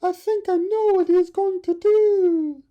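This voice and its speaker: A man voicing a kindly, motherly female dove with a cooing falsetto in a children's storybook. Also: fairly even intonation, measured pace, muffled recording. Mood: cooing, knowing.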